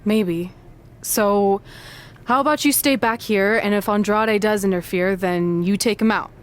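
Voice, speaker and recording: A woman's voice: mid-range voice, a little slow, close to an everyday voice. An actress playing a supportive, independent young female wrestler in a game cutscene, casual and plainspoken. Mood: firm, friendly. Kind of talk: video game cutscene acting.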